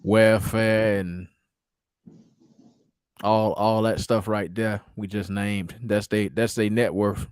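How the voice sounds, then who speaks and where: A man with a slightly raspy voice joining a casual livestream chat, making quick mocking remarks. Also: lowish voice, steady tone, a little mellow, no echo, studio quality. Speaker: stream guest.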